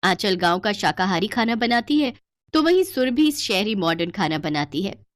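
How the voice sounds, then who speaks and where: A woman's voice: medium pitch, very animated, moderate pace, no echo. A woman storyteller giving dramatic scripted narration for a cartoon tale.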